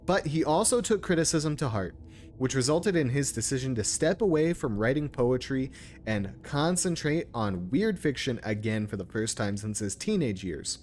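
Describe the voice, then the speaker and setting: A man's voice: mid-range voice, animated, normal speed, a little mellow, slight background noise. A man streaming a game, commenting casually and reading text aloud in an informal, sometimes stumbling way.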